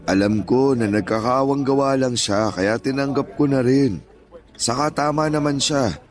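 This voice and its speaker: A man's voice: mid-range voice, moderate pace, lightly acted. An actor playing a kindly, hardworking older father and former street vendor in a Tagalog audio drama, with a Filipino accent and reassuring, plain-spoken manner. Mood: warm, grateful. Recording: music bed behind voice.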